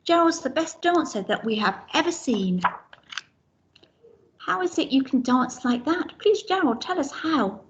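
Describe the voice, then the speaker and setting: A woman voicing a crowd of jungle animals in a picture book, calling out loudly. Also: high-pitched, expressive, measured pace, lo-fi sound, pitched above the performer's own voice. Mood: amazed.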